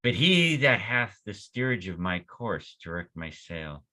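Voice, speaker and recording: Guy playing earnest young romantic in a play read, bright forceful voice, slow lively delivery. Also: on the low side, heavily acted. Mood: foreboding. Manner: earnest, declamatory verse mixed with street slang. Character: earnest, foreboding young romantic man, peacemaker among friends. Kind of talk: dramatic table read of a modernized Shakespeare play. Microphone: video call.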